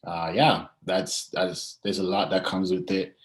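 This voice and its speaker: Young man, low voice, hesitant casual interview talk, frequent pauses. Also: level tone. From Zimbabwe and South Africa. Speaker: athlete being interviewed.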